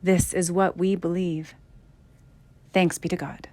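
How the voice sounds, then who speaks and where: A woman's voice: lowish voice, level tone, unhurried, darkish tone. A woman leading a scripted call to worship. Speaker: worship leader.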